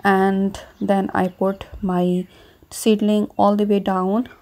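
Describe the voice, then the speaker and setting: A woman host calmly explaining her gardening work, speaking outdoors on a garden patio while she transplants seedlings. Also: mid-range voice, takes it slowly. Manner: calm, even, explanatory. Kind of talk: casual gardening tutorial narration.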